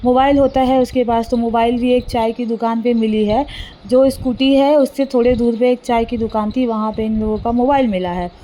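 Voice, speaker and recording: A woman with a fairly high, mellow voice explains a case in an informal, unscripted way. She repeats and corrects herself as she goes. Faint noise sits behind her voice. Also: steady tone, moderate pace. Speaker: vlogger recounting a news case. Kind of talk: informal, unscripted news recounting.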